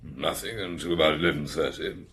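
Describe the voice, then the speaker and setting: An actor playing a curt, plain-spoken gentleman witness, answering tersely in a radio drama. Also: a bass voice, fairly quick. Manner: terse, matter-of-fact. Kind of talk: British radio drama acting.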